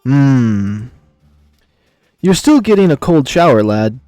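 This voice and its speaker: A man's voice: mid-range voice, sing-song, slow, dark tone, no room sound, clean studio sound, soft background music. A man voicing a commanding, impatient beast emperor in a visual novel, brusque and dryly amused as he questions his men. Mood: stern.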